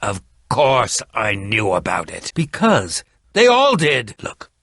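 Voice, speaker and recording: An actor playing an eccentric middle-aged schemer in a video game, with a dramatic, haughty and defensive manner. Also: male voice, lowish voice, huge pitch swings, takes it slowly, a bit bright, no room sound, clean studio sound, full character voice. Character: eccentric theatrical middle-aged male potion-brewing schemer. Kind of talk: video game character dialogue.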